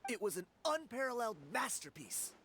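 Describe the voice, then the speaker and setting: A man's voice: extremely high pitch, a little fast, slight background noise, heavily acted. An actor playing an upbeat, earnest young man in a video game, loyal and reassuring. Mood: dramatic sympathy. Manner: animated, earnest.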